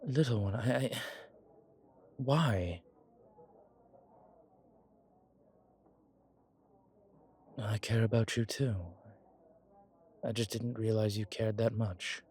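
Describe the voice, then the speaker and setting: A man playing an ancient vampire commander in an intimate audio roleplay, speaking with formal, old-fashioned diction and a measured, haughty manner. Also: on the low side, completely flat delivery, darkish tone, breathy edge. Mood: tender, touched. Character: ancient male vampire commander, haughty yet tender to his companion. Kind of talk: intimate audio roleplay acting, one side of a conversation.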